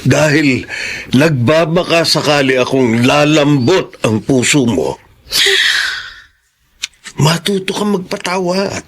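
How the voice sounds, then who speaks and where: Slow, earnest, reproving man. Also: a little high, expressive. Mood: earnest, admonishing. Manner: deliberate, admonishing. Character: earnest, reproving peacemaker urging forgiveness. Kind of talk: Filipino radio drama acting.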